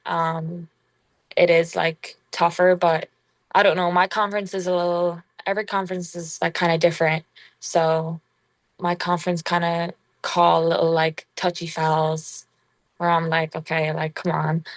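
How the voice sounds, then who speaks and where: A young woman from Ireland, a college basketball player, talking casually in an interview with an Irish accent gone strongly American, halting and full of fillers. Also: on the low side, dry recording, low-quality recording. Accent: Irish with a strong American tinge. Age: young adult. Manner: relaxed, halting, full of "like" fillers, laughs often.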